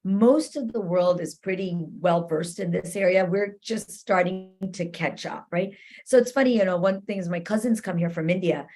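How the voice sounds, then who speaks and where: A woman's voice: lowish voice, normal speed, dark tone. A woman giving advice as an interview guest, explaining conversationally and often checking in with the listener. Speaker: interview guest, cultural competency expert.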